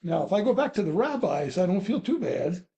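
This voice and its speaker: Gravelly, slightly high-pitched man. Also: big pitch swings, a little fast, dark tone. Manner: earnest, thinking aloud with restarts. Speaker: class participant. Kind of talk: casual class discussion.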